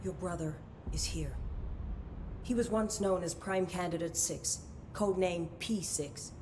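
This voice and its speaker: A woman playing a low-voiced, stern official. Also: darkish tone, a little hiss or hum, natural voice. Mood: grave. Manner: measured, formal, commanding. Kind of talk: video game cutscene acting.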